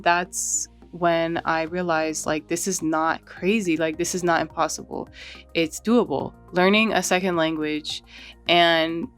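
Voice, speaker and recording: A woman chatting casually while she draws, relaxed and rambling, often saying "like" and "you know". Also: lowish voice. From United States. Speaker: art channel host. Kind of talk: casual chat while drawing.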